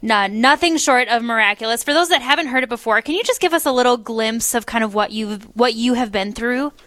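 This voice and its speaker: A woman's voice: on the high side, brisk, fairly bright tone, dry recording, clean studio sound. A woman hosting a radio interview show, friendly and eager as she introduces and questions a guest. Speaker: radio host.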